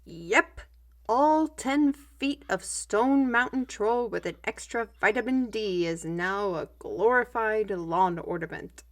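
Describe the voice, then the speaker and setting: A woman playing a young, learned squire in an audio roleplay, explaining things in an animated, chatty manner. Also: medium pitch, takes it slowly. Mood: matter-of-fact.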